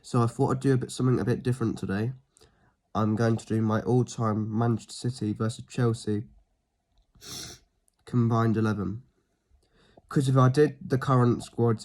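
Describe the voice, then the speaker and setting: A fairly low-voiced man talks through a football line-up on his own, sounding a little sniffly from a cold. He keeps it relaxed and unscripted, with plenty of ums, and lets the ends of his phrases drop off quietly. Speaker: football fan channel host. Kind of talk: casual solo preview talk.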